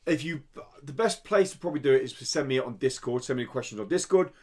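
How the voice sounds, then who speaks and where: A man's voice: medium pitch, animated, fast talker, a little mellow. A British man hosting a podcast, chatting informally with a teasing manner. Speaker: podcast host. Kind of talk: casual podcast banter.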